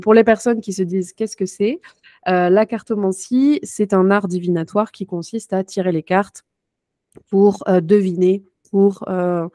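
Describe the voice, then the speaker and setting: A woman's voice: medium pitch, dark tone, no room sound, clean studio sound. A chatty, self-deprecating woman introducing herself casually to a group on an online call. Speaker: fortune-teller and trainer introducing herself.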